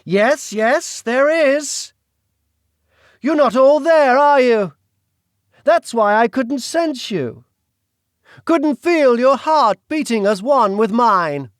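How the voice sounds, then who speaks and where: A man voicing a grandiose, menacing duke with a British accent, slow and theatrical. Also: very high-pitched, lively intonation, no echo, studio quality, voice pushed up, exaggerated voice. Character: suave menacing male duke, grandiose villain.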